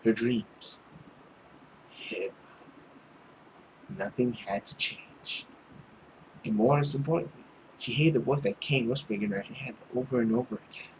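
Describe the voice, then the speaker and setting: A man's voice: medium pitch, measured pace, long pauses, darkish tone, a little hiss or hum, low-quality recording. A man reading a visual novel aloud dramatically as a let's player, with commentary of his own.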